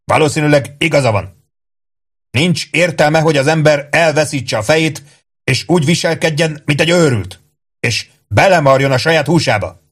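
A man's voice: on the high side, expressive, slightly rough, pitched above the performer's own voice. A man voicing a hot-tempered older army captain in an audiobook, with an indignant, emphatic, huffing manner. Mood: calming, resigned.

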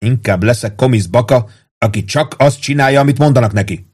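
A man's voice: medium pitch, pitched above the performer's own voice, close to an everyday voice. A man voicing a vain, impatient and furious army sergeant in an audiobook, ranting in thundering tirades. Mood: boastful, angry. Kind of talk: dramatized audiobook dialogue.

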